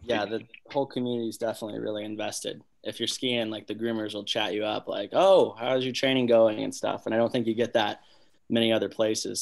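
A man's voice: lowish voice, vocal fry. A man in his twenties, a college athlete, answering press questions over a video call, easygoing and confident with lots of casual fillers. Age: 20s. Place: small room indoors. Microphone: laptop webcam on a video call.

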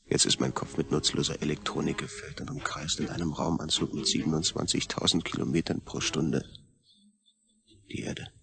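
An actor playing an anguished astronaut reduced to a brain, brooding in an intense, gravelly, often near-whispered radio drama monologue. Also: male voice, extremely low pitch, fairly even intonation, normal speed, a bit bright, full character voice. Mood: bitter, brooding. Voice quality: husky, gravelly.

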